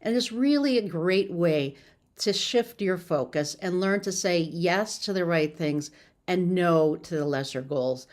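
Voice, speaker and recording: A woman's voice: fairly low pitch, measured pace, a little mellow. A woman giving an informal, encouraging motivational talk as a success coach, sometimes stumbling over her words.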